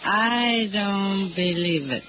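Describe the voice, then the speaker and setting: A woman's voice: medium pitch, takes it slowly, phone-quality sound, natural voice. Actress as a guarded hard-luck young American woman. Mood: cynical, disbelieving. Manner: suspicious, slangy, curt. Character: guarded, hard-luck young blonde petty thief, streetwise and cynical. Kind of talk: 1950s radio noir acting. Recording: thin old radio recording, loud music.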